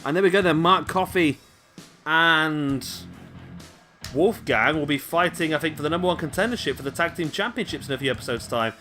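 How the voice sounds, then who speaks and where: A man giving casual play-by-play commentary on a wrestling video game, chatty with rambling asides to viewers, his voice going a little hoarse. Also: on the high side, brisk, sharp tone, soft background music. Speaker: gaming commentator. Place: home room, late at night.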